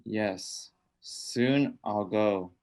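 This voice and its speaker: A man's voice: lowish voice, extremely slow, a little mellow. An actor playing a sinister undead old man in a radio drama, coaxing and menacing with insistent, repeated commands. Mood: ominous.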